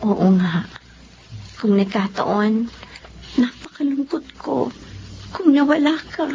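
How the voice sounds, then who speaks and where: A woman playing a tender, emotional sweetheart in a Filipino radio drama, with drawn-out delivery. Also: fairly high pitch, expressive, gravelly, mellow, exaggerated voice. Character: tender, emotional young sweetheart, later devoted wife. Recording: dull radio recording, faint noise.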